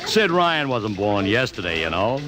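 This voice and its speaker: An actor playing a cocksure American publicity promoter with slangy, persuasive patter in 1950s radio drama style. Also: male voice, on the low side, sing-song, normal speed, over music. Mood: boastful, knowing.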